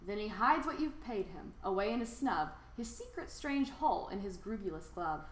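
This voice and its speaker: Female storybook narrator, very animated, theatrical delivery, roomy echoing recording. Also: medium pitch, normal speed, slight background noise. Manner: theatrical, emphatic, rhyming cadence. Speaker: storybook reader. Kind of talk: expressive children's read-aloud narration.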